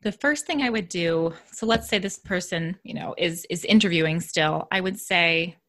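A woman who teaches elementary music, talking reflectively in a casual interview, soft-spoken and thinking aloud. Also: fairly low pitch, moderate pace. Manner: reflective, thinks aloud with restarts and light laughs.

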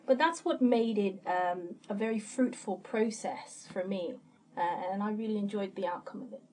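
A woman's voice: mid-range voice, normal speed, warm tone. A woman born in Somalia speaking thoughtfully on a panel, with frequent fillers. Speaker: panelist, translator.

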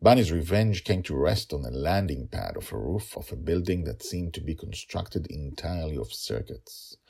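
A man with a non-native English accent that catches on L's and R's, telling a story in a theatrical, emphatic, husky way as narrator. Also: very deep voice, very animated, moderate pace, a little mellow. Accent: non-native English accent, trouble with L's and R's. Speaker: host, writer and narrator of a serial story podcast.

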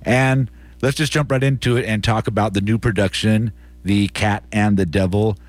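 A man's voice: on the low side, steady tone, normal speed, no echo. A man with an American accent presenting community radio in a casual, conversational way as host and interviewer.

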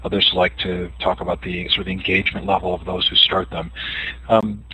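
A man's voice: low voice, little pitch movement, brisk, bright, forceful tone, slight background noise, rough recording. A man hosting an interview, asking thoughtful questions with some hesitation. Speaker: host.

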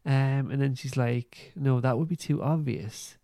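A man hosting a podcast, giving a casual recap in an informal, halting way. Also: medium pitch, gravelly, mellow, no echo. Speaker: podcast host. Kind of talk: casual plot recap and review.

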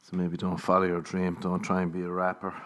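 A man's voice: deep voice, monotone, fairly quick, a bit bright. A man, a comedian hosting a podcast, rambling casually through stories, swearing and laughing at his own jokes. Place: living in Los Angeles.

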